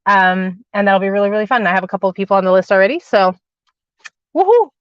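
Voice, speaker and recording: A woman's voice: mid-range voice, lively intonation, fast talker, no echo. A woman hosting a spiritual livestream from inside a parked car on her phone, chatting casually, calling viewers darling and sweetheart, then leading a guided meditation while she gets over a cold with a cough drop in her mouth. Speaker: spiritual channeler and host. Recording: dull lo-fi phone audio.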